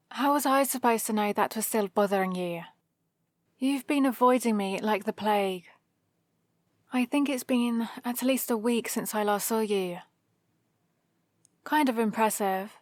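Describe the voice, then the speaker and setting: A woman's voice: on the high side, measured pace, long pauses, no echo, lightly acted. A woman with a British accent playing a sardonic supervillain who grudgingly cares for an injured rival, in a dry, teasing ASMR roleplay. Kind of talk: ASMR roleplay, close and unhurried.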